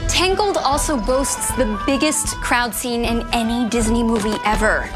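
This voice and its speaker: An upbeat woman host runs a trivia segment, her voice sitting a little high. Music plays under her throughout. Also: a little slow. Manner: upbeat, quizzing. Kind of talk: scripted presenting with trivia.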